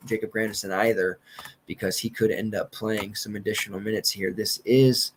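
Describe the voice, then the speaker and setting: Hoarse man talking basketball picks. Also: lowish voice, slight background noise. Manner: loose, rambling, confident picks with filler and restarts. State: losing his voice, throat-clearing. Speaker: sports betting analyst. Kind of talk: casual solo podcast commentary.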